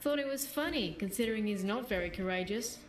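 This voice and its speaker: A woman's voice: medium pitch, animated, fast, lightly acted. A woman playing a wry, bawdy female follower in a fantasy video game, with teasing, playful banter. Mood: amused, playful. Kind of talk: video game companion dialogue. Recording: roomy game audio, music behind.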